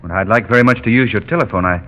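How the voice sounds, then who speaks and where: A man's voice: lowish voice, racing, fairly bright tone, close to an everyday voice. A man playing a suave, courteous young man about town with wry urbanity, in 1930s radio drama style. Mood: polite. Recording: dull 1930s radio recording.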